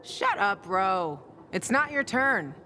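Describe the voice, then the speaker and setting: A woman's voice: mid-range voice, very animated, takes it slowly, sharp tone, faint music behind, exaggerated voice. An actress playing a hard-bitten, sardonic young woman in a video game, blunt and guarded. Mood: exasperated. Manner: blunt, dry, profane. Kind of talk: video game character acting.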